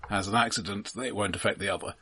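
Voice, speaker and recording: A man co-hosting a film discussion, explaining things casually in a measured, precise, dry way. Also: on the low side, fairly even intonation, pushed and bright, breathy edge. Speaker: film podcast co-host.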